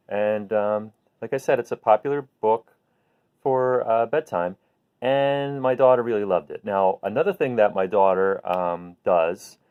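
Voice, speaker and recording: A man speaks slowly in a smooth, clean voice, as someone reading aloud to small children at bedtime. His manner is gentle and simple. The sound is narrow and phone-like. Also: fairly low pitch. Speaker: host reading picture books to children. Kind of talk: slow bedtime read-aloud with casual chat to viewers.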